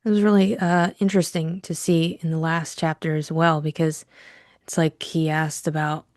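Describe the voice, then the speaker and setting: Low-voiced woman chatting casually, dry sound. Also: level tone, normal speed, darkish tone, no room sound. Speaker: co-host of book discussion. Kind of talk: casual book discussion.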